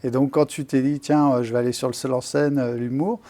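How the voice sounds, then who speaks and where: A man interviewing a guest casually, halting and repeating words as he forms questions. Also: mid-range voice, little pitch movement, warm tone.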